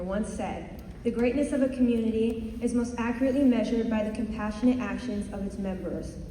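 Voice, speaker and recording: A woman's voice: on the high side, warm tone, slight background noise. Earnest young woman speaking in a hall. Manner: earnest, measured. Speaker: student candidate giving a speech. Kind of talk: prepared speech read aloud. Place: school assembly hall. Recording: echoey hall, distant mic.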